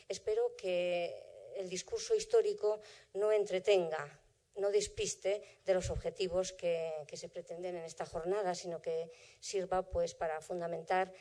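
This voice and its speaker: A woman's voice: on the low side, warm tone. A middle-aged woman, a native Basque speaker from the Basque Country, giving a thoughtful and carefully worded conference lecture as a historian of medicine.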